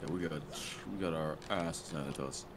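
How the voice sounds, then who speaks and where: A man chatting and reacting loudly while gaming with friends, swearing freely. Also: extremely low pitch, moderate pace, noisy background. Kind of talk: casual gaming chat.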